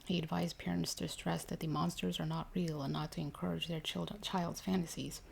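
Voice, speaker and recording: A woman reading a ghost story aloud in a hushed, measured ASMR style, with occasional stumbles. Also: sits low, level tone, gravelly, slight background noise. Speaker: story reader.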